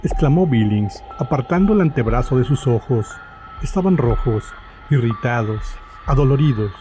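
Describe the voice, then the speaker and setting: Dark, mellow-voiced male horror narrator. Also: medium pitch, animated, normal speed. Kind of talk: scripted horror story narration. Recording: faint music behind the voice.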